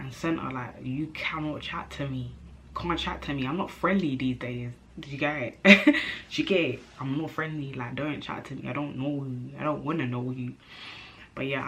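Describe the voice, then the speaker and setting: A young woman vlogging to camera in a chatty, animated way, her nose a bit stuffy from a cold. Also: rumbling low, a little hiss or hum. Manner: chatty, animated, self-interrupting, slangy. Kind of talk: casual chat to camera.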